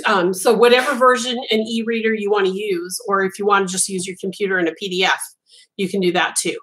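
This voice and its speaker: A woman's voice: medium pitch, a bit bright, rough recording. A woman whose first language was Italian, hosting a casual live chat as a crochet designer, rambling with frequent ums and restarts.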